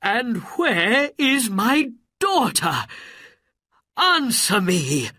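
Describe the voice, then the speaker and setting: Imperious male ruler for a children's tale, read by a woman, wrathful, husky, forceful and deliberate. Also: a little high, sing-song, no room sound, clean studio sound, pitched above the performer's own voice, exaggerated voice. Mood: angry, demanding. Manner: loud, imperious, demanding. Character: angry, commanding Sultan, father of a princess.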